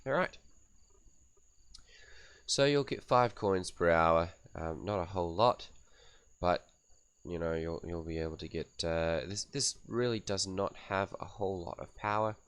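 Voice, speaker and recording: A man hosting a gaming channel, raspy and coughy from a cold, casually thinking aloud as he reads out and fills in game settings one by one. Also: low voice, unhurried, long pauses, fairly bright tone, no room sound. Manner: thinks aloud while reading out menu settings. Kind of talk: casual game setup commentary.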